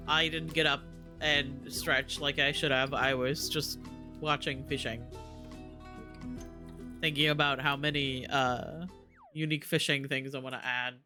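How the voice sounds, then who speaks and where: A man's voice: medium pitch, level tone, a little slow, pauses often, music in the background. A man chatting casually and informally as he live-streams a video game, rambling and swearing now and then. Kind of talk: casual live-stream chat while gaming.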